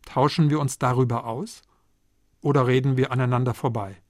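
Earnest preacher, dry close sound. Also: male voice, medium pitch, fairly even intonation, a little mellow, no echo. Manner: measured, earnest preaching with rhetorical questions. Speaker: preacher, theology lecturer. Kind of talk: Pentecost sermon.

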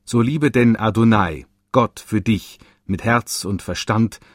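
A man solemnly reading quoted texts in a slow, earnest way. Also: on the low side, no echo, studio quality. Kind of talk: solemn scripted recitation.

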